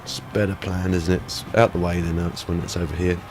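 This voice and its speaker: Low-voiced man with a cold. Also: level tone, fast talker, background noise. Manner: chatty, rambling, many ums and uhs. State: head cold, sniffly. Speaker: let's play host. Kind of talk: casual gameplay commentary.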